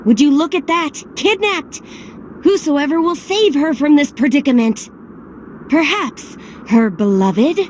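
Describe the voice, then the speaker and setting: A woman's voice: high voice, expressive, a little slow, darkish tone, a little hiss or hum, low-quality recording. A woman playing a mysterious, teasing master of ceremonies in a video game, proclaiming grandly. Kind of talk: video game cutscene narration in character.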